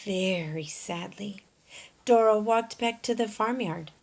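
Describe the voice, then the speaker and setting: A woman's voice: mid-range voice, takes it slowly, gravelly. A woman reading a picture book aloud for young children, explaining things in an instructive way as a storyteller. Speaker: storyteller, teacher.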